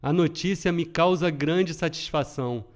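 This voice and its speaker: A middle-aged man with a Brazilian accent reads his podcast script in a steady, earnest way. His voice sits a little higher than most men's, and he speaks close to the mic. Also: normal speed. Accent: Brazilian Portuguese. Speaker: podcast host.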